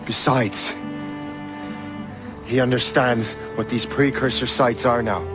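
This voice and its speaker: A male actor as a turncoat assassin in a game cutscene, resolute and burdened by guilt. His mid-pitched voice is played as serious drama. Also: fairly even intonation, takes it slowly, music in the background, close to an everyday voice. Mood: firm pleading. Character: guilt-laden, resolute male assassin turned Templar, adult.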